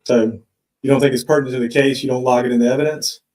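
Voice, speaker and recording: A man's voice: mid-range voice, fairly even intonation, quick pace, a little mellow. Echoey man questioning a witness in court. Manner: probing, leading questions. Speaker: defense attorney. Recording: echoey courtroom playback on live stream.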